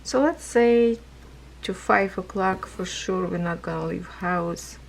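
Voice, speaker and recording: Hoarse, slow-talking woman crafter. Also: fairly low pitch, a little hiss or hum. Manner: rambling, non-native phrasing, laughs often. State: losing her voice. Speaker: craft vlogger. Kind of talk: casual chat while stitching.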